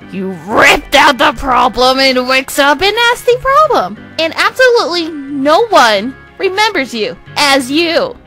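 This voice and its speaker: A woman's voice: very high voice, pushed and bright, heavily acted. A woman voicing a crude, wounded and manic male twin in a fan comic dub, theatrical and rambling, given to swearing. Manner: theatrical, foul-mouthed, rambling.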